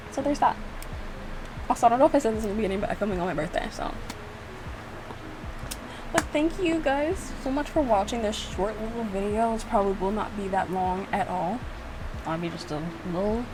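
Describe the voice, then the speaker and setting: A woman giving casual, informal reaction commentary as a video creator, animated and playfully exasperated. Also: mid-range voice, noisy background. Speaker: video creator reacting to own visual novel.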